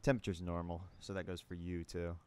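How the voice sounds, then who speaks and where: A man's voice: low-pitched, level tone, fast talker, darkish tone, clean tone, close to an everyday voice. A man playing a jaded writer in their thirties, dry and self-deprecating, in an amateur comic dub. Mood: wry, weary.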